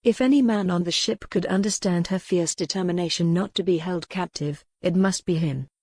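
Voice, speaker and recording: A woman's voice: fairly low pitch, normal speed, warm tone, slightly rough, no room sound. A woman reading scripted historical romance narration as an audiobook narrator, recorded close in a dry space.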